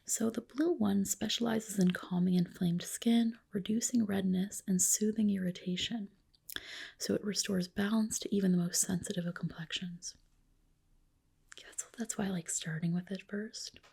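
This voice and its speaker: Woman, soft breathy whisper, slightly creaky, soothing, reassuring, ASMR medical roleplay. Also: medium pitch, steady tone, normal speed. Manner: soothing, reassuring clinician addressing a patient.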